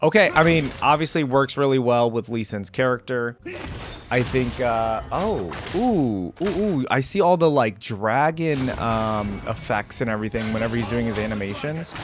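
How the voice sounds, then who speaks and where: A man's voice: mid-range voice, lively intonation, normal speed. A man hosting a reaction video with casual, off-the-cuff commentary, informal and exclamatory as he thinks aloud. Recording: music and game audio behind voice.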